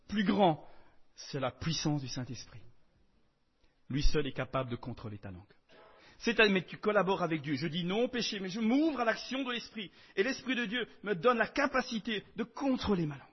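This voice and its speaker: A man preaching a sermon in French, emphatic, swinging between loud declarations and soft asides. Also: high voice, animated, normal speed, husky, highs cut off.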